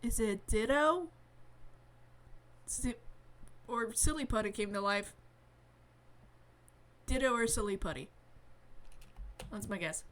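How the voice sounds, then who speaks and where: A woman's voice: on the high side, slow pace, long pauses. A woman reading a visual novel aloud expressively, adding playful, wry asides in casual commentary as a streamer. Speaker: let's-play streamer.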